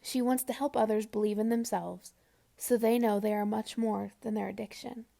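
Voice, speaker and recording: A woman narrating a scripted memorial profile, reading in a measured, solemn way. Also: mid-range voice, fairly even intonation, vocal fry, dark tone.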